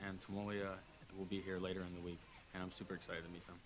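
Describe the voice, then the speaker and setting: A man's voice: low voice, flat delivery, quick pace. A man speaking in laid-back, slangy interview soundbites about his team. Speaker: map-building team member. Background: music.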